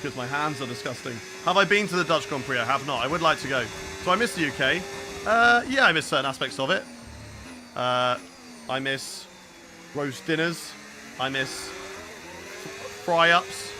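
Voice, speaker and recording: A man's voice: a little high, lively intonation, moderate pace, pauses often. A young British man streaming a racing game, chatting casually and reacting aloud while answering viewers. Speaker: gaming streamer. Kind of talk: live gameplay commentary and chat replies. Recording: racing game engine noise behind. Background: clear racing game car sounds.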